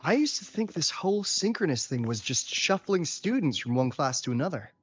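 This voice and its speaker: Husky, lively easygoing investigator. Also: male voice, on the high side, a little fast, heavily acted. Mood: earnest. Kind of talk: video game voice acting. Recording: dull lo-fi game audio, faint music.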